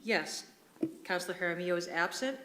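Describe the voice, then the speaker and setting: A woman's voice: lowish voice, a little fast, pushed and bright. A woman acting as a meeting clerk, calling the roll and reading motions in a formal, matter-of-fact way. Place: indoor public council meeting room.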